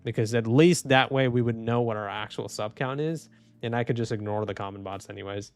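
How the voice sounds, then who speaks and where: A man live streaming and reading chat, speaking softly and calmly with frequent restarts. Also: fairly low pitch, fairly quick, no echo. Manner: soft-spoken, calm, trailing off with restarts and small laughs. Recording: dry close mic, faint music underneath.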